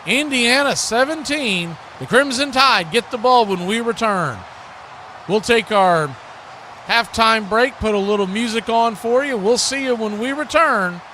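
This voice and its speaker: A man delivers live play-by-play commentary in a bright, forward voice. It sits high for a man. There is steady noise behind him. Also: unhurried.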